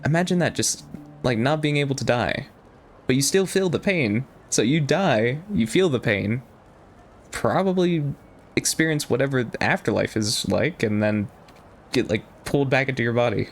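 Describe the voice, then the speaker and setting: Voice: mid-range voice, lively intonation, normal speed. A streamer musing aloud in a casual, rambling way while commenting on a game. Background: game music.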